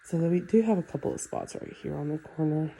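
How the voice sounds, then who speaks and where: A woman's voice: sits low, a little fast, slightly rough. A woman with a stuffy, nasal voice from a sinus infection, casually walking through a house as a real estate agent. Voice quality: nasal, congested. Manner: relaxed and chatty, with fillers like "um" and "like". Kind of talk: casual home walkthrough tour.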